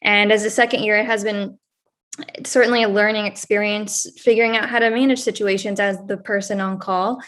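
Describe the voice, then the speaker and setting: A quiet, soft-spoken woman, a second-year surgical resident, sharing measured advice on a panel. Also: mid-range voice, fairly even intonation. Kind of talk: panel discussion.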